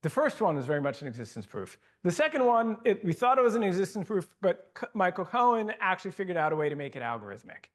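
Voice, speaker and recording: Lively higher-pitched male lecturer, self-correcting, thinking aloud. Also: fast talker, few pauses. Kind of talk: academic lecture and Q&A. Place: lecture hall.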